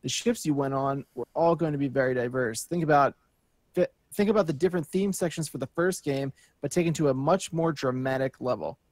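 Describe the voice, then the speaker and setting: A man's voice: mid-range voice, a little fast, a little mellow, no echo. A man co-hosting a podcast, reading news aloud and chatting in an informal, joking way. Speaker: podcast co-host.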